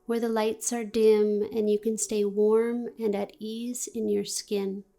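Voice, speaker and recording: A woman guiding a meditation in a gentle, deliberate, soothing manner with long pauses. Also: mid-range voice, level tone, gravelly. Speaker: yoga nidra meditation guide. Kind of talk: guided meditation, scripted narration.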